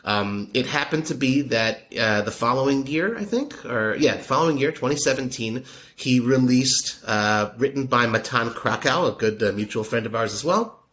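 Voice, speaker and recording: A man's voice: mid-range voice, animated, normal speed. A man, a podcaster telling a friendly story in a casual interview, rambling with many fillers and restarts. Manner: warm, admiring storyteller. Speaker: podcaster and poker media guest.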